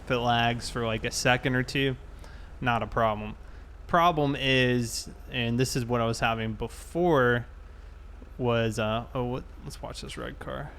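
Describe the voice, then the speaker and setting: A man chatting casually and thinking aloud in a halting way as he livestreams a police roleplay game. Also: mid-range voice, fairly even intonation, fairly bright tone, slight background noise.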